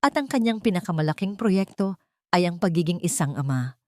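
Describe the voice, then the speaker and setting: A woman narrating a scripted sentimental story in a measured, earnest way. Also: fairly low pitch, expressive, no echo. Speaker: storyteller, narrator of audio drama. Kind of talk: scripted dramatic first-person narration.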